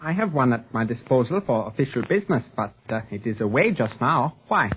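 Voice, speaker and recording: A composed, courteous secret police agent in an old 1930s radio adventure. He speaks formally and carefully in a slightly rough, medium-pitched male voice. The sound is narrow and tinny. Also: sing-song. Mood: calm, considerate. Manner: formal, measured, avoids contractions. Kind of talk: 1930s radio serial acting.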